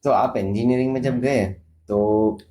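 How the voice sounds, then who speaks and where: A man's voice: mid-range voice, fairly even intonation, a little slow, mellow. A man with an Indian accent hosting a podcast interview in a conversational way. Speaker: podcast host. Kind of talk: conversational Hindi-English interview.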